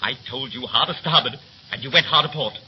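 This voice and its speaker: An actor playing a brisk, bossy young ship's officer in a 1930s radio play, with a clipped, commanding, theatrical manner. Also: male voice, medium pitch, lightly acted. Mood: questioning, intense. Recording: muffled, noisy 1930s radio recording.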